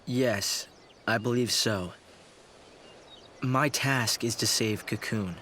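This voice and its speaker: An actor playing a thoughtful, idealistic young researcher and leader in a video game, explaining in a measured way. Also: male voice, medium pitch, sharp tone, slight background noise. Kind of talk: video game cutscene acting.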